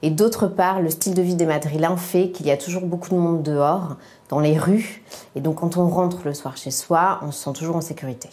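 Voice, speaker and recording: A woman's voice: low voice, steady tone, brisk, a little mellow. A woman speaking fluently and confidently in an interview clip.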